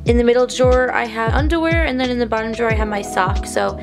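A young woman with a slightly high, raspy voice, a little stuffy from allergies. She rambles cheerfully with lots of "like" and "um" while filming her small bedroom on a handheld phone. Music plays clearly underneath her talk. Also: quick pace. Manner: chatty, upbeat, rambling with fillers. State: congested from allergies. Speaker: college program intern. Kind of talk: casual room tour vlog. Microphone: handheld phone camera.

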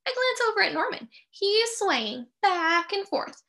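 A middle-aged woman reading a picture book aloud to young children, expressive and sing-song, pausing to put questions to her listeners. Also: very high-pitched. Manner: expressive, sing-song, with drawn-out key phrases and dramatic gasps.

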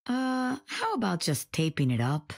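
A woman's voice: mid-range voice, huge pitch swings, measured pace, vocal fry, full character voice. A woman playing a sly, teasing female hacker in a video game.

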